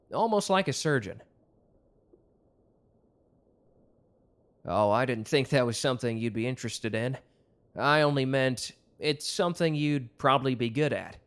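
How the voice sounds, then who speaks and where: Man playing a young male love interest, medium pitch, casual, intimate, teasing, bashful, romantic audio roleplay. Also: fairly bright tone. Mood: warm, admiring.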